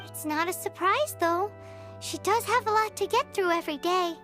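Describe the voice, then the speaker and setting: A woman voicing a tiny, excitable fairy girl who talks about herself in the third person, for a game. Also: extremely high pitch, normal speed, soft background music. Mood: chirpy. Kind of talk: game voice acting.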